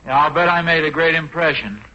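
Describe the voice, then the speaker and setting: A man's voice: a little high, brisk, pushed and bright. An American actor playing a sheepish, self-pitying husband and father in a 1940s radio comedy. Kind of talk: 1940s radio sitcom acting. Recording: noisy, muffled 1940s radio recording.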